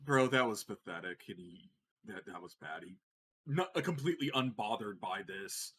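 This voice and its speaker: A man's voice: fairly low pitch, expressive, normal speed, a bit bright, a little hiss or hum. A man playing a tabletop game, casually describing his character's reactions in an amused way.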